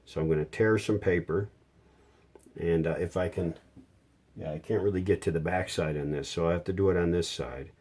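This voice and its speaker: This is a man with a low, dark and relaxed voice. He talks casually through hands-on repair work, step by step. His delivery is plainspoken and unhurried, like a hobbyist's tutorial. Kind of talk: casual hands-on how-to narration.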